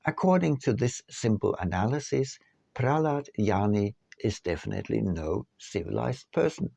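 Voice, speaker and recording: Slow, gravelly man reading aloud. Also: lowish voice, dark tone, no room sound. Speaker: author reading his own book. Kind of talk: scripted audiobook narration.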